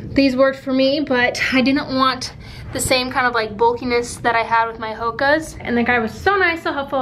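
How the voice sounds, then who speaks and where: A woman's voice: high voice, a bit bright, a little hiss or hum, poor audio. A young woman from the United States chatting casually to camera in a vlog, upbeat and rambling with plenty of "likes.". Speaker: vlogger. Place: at home and out and about. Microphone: handheld compact camera.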